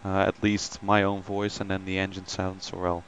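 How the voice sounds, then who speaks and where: A man's voice: deep voice, completely flat delivery, moderate pace, fairly bright tone, a little hiss or hum. A man hosting a flight simulator live stream, chatting casually with many ums and greeting viewers by name.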